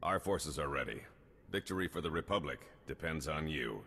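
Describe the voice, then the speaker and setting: An actor playing a stern, driven military colonel in a video game, speaking in a clipped, formal, commanding way. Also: male voice, very deep voice, animated, moderate pace, vocal fry, sharp tone, husky, full character voice. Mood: urgent, commanding. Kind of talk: video game cutscene acting. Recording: long hall reverb.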